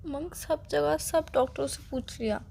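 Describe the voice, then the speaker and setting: Woman playing a high, creaky, heartbroken young girlfriend. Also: moderate pace, a little mellow. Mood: despairing. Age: young adult. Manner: sorrowful, insistent refusals. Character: distraught, resigned, self-sacrificing young girlfriend. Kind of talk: phone-call relationship drama acting.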